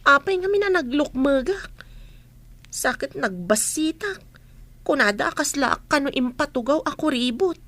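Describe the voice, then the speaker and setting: Bullied, studious teen girl for audio drama, played by a woman; high pitch, plaintive honesty. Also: lively intonation, normal speed, a bit bright, no room sound. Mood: hurt, complaining. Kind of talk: amateur audio drama acting.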